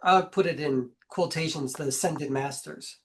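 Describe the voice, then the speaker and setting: A man getting over a cold, a guest channeler explaining his views in a measured, emphatic way. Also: a little high, a little hiss or hum. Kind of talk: casual interview chat.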